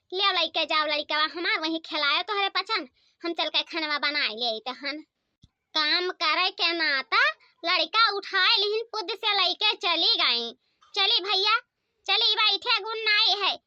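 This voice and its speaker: A woman's voice: extremely high pitch, bright, forceful tone, no echo, muffled recording, full character voice. A woman voicing an aggrieved young village mother and daughter-in-law in a comic cartoon, complaining and exclaiming in an Awadhi accent. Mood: resigned.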